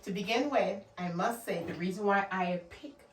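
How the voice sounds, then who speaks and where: A woman's voice: mid-range voice, moderate pace, reverberant, far from the mic, slight background noise. A woman with a Guyanese accent giving an emphatic motivational talk, repeating her key words for stress. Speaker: motivational vlogger.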